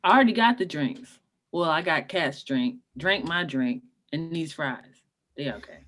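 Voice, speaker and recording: An actress plays a blunt, hard-to-impress sister who wants to party. She speaks in a casual, sassy way, a bit low for a woman, with very lively intonation in a comedy script read. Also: measured pace. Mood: offhand. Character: blunt, unimpressed, party-loving sister of the groom.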